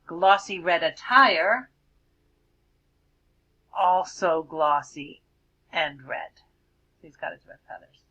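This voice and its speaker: A woman teacher reading a picture book aloud to children, expressively, stretching words and dropping into hushed explanatory asides. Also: lowish voice, highs cut off. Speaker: elementary school teacher.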